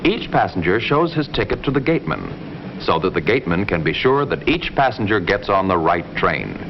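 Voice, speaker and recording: Deep-voiced 1950s educational film narrator, male. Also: big pitch swings. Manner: measured, clear, explanatory delivery in simple sentences. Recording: dull, noisy old film soundtrack.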